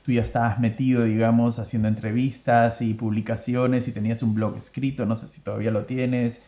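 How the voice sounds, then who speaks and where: A man's voice: mid-range voice, level tone, fairly quick, dark tone. A man in his thirties hosting a casual interview, asking questions and rephrasing them as he goes. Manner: conversational, asks and rephrases questions. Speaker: host, interviewer. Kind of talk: casual interview, plus read sponsor ad.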